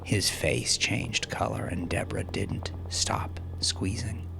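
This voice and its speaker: A man narrating a dark story like an audiobook, intense and theatrical, swinging between hushed phrase endings and forceful peaks. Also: low voice, takes it slowly, gravelly, over music. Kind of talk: dramatic scripted audiobook narration.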